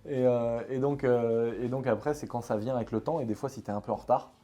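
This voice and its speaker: A man from France hosting a casual interview, talking in a quick, colloquial and jokey way with many fillers. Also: medium pitch, fairly even intonation, dark tone, dull audio. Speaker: YouTuber host interviewing a guest.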